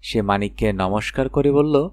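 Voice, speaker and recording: A man narrating a children's fairy tale in an expressive storytelling manner with dramatic phrasing. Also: lowish voice, normal speed, dry recording. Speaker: audiobook narrator.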